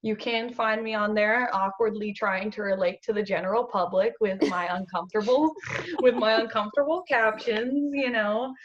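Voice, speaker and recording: A woman's voice: medium pitch, moderate pace, noisy background. Young woman, faint Southern lilt, lo-fi. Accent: slight Southern US. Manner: chatty, self-deprecating, many fillers. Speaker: guest model. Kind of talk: casual interview chat.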